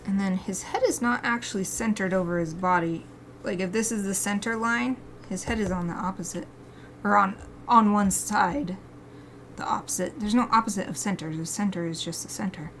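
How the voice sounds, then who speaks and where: A woman's voice: medium pitch, moderate pace, a bit bright, a little hiss or hum. Giggly, chatty woman drawing on stream. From United States. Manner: chatty, giggly, talks to herself and reads chat aloud. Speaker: artist streaming live drawing. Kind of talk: casual chat while drawing live.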